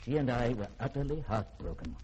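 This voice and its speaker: An actor playing a learned, secretive middle-aged scientist in a 1950s radio drama, speaking in formal, polished, theatrical sentences with a husky edge. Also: male voice, mid-range voice, lively intonation, fairly quick, warm tone, slight background noise, natural voice, poor audio. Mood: grave, ominous.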